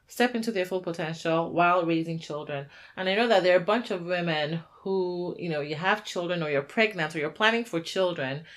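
A woman with a slightly low voice hosts a podcast. Her delivery is informal and chatty, in a conversational style that talks directly to the listener or guest. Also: a bit bright. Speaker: podcast host. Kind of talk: casual conversational podcast hosting.

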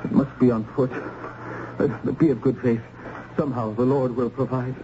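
Colonial parson, male actor, self-sacrificing and urgent, old radio play style, muffled with clear background noise. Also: mid-range voice, steady tone, moderate pace, natural voice. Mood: anxious, hurried. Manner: earnest, urgent, archaic formal speech. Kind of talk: old-time radio drama acting.

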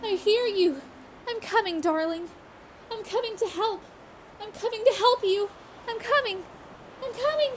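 Woman doing a scared young woman in a horror radio play, really high pleading voice, devoted and breathless, noisy recording. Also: unhurried, long pauses. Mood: desperate, calling out. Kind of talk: radio horror drama acting.

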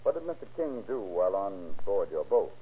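A pressing courtroom lawyer in old-time radio drama. The voice is fairly low and husky, formal and insistent, on a dulled recording. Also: male voice, very animated, fairly quick, warm tone, lo-fi sound, exaggerated voice. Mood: formal, probing. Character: stern, pressing courtroom prosecutor questioning a witness.

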